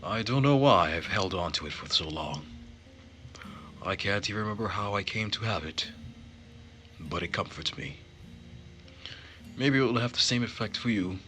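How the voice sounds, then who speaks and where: Earnest young marquis for a visual novel, male reader, measured and formal, low pitch, very bright edge. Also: lively intonation. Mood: tender. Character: gentle, earnest young nobleman, quietly sorrowful. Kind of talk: visual novel character read-aloud. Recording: music behind the voice.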